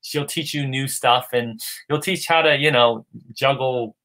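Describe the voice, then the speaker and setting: A man's voice: mid-range voice, unhurried, bright, forceful tone. A man from California chatting casually on a video call, rambling enthusiastically with many restarts. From United States, California. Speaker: guest. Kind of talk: casual livestream chat.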